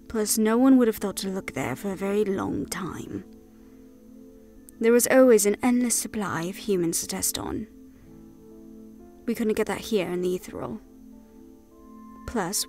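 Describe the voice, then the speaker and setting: A woman's voice: mid-range voice, natural voice. A woman playing a proud, frustrated villainous fae in an audio roleplay drama, speaking in a dramatic, exasperated way. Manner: exasperated, dramatic, sharp-tongued.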